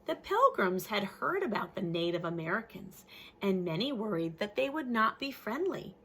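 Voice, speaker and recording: Slow, animated woman reading to children. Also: mid-range voice, slightly rough. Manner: addresses young listeners as friends, explains simply. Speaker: teacher reading a picture book aloud. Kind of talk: expressive children's read-aloud with explanatory asides.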